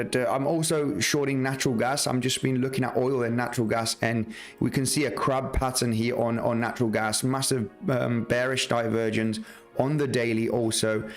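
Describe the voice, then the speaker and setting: Gravelly man talking through trading charts. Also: medium pitch. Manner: loose, many fillers and restarts, walks through charts. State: slightly rough voice. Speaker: market analysis livestream host. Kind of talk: live trading chart commentary.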